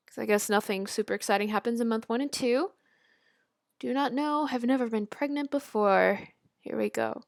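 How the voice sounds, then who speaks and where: A woman's voice: sits high, dry recording, studio quality. A young woman reading and commenting in a casual, informal, slightly amateur way, with a giggly manner. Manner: giggly, informal, stumbles over the text and rereads. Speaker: video host. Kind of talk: casual reaction commentary and amateur read-aloud of fan fiction.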